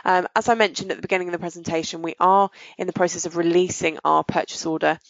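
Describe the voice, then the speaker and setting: Woman doing a webinar demo, lowish gravelly voice, businesslike, dry muffled recording. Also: steady tone, quick pace, no room sound. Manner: explanatory, business-like phrasing. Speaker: webinar presenter. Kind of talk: software demo presentation with audience questions.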